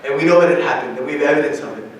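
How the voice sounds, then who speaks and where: A man's voice: on the high side, rapid-fire, slight background noise. A man giving an informal religious talk to young adults, speaking conversationally and often checking in with a questioning "right?". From United States. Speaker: guest lecturer at an Islamic center. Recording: very echoey hall, distant mic.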